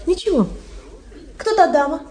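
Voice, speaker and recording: A coquettish young princess, proud and teasing. Her very high voice lilts dramatically over faint music and a murmuring crowd in a radio drama. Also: lively intonation, moderate pace, mellow. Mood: piqued, coy. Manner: coquettish, pointed. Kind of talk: theatrical radio play acting.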